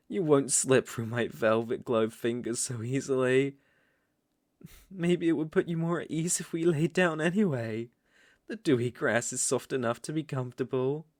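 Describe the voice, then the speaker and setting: A man's voice: a little high, dry recording, clean studio sound. A British man playing a seductive, domineering vampire lord in an intimate ASMR roleplay, with teasing, ornate, condescending speech. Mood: amused, gloating.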